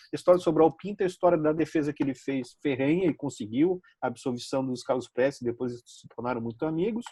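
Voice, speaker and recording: A Brazilian man giving recommendations on a talk show, halting and repeating words as he speaks. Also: on the high side, darkish tone, husky, no echo. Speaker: regular guest giving tips. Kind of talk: casual discussion show.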